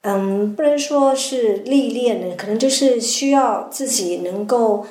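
A woman's voice: on the high side, a little slow, dark tone. A woman hosting a conversational radio interview, speaking in a reflective, empathetic manner. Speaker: radio program host. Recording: roomy echo, faint background noise.

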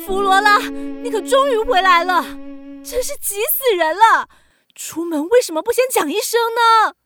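An anxious, protective older sister speaks in a high, gentle voice. She is earnest and expressive, in children's radio drama style, with music clearly behind her. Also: moderate pace. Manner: earnest, caring, expressive delivery.

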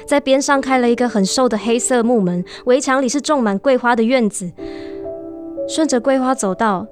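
A woman's voice: fairly high pitch, moderate pace, natural voice. An actress playing an earnest, lonely romantic woman in a radio drama, speaking hesitantly and wistfully. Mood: dreamy. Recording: music bed under the voice.

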